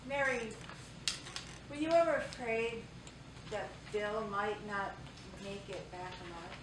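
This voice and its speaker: A woman's voice: mid-range voice, slow pace, close to an everyday voice. A woman playing an insistent documentary filmmaker who probes with questions, in a staged reading. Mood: probing, insistent. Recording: echoey theatre, distant mic, noisy.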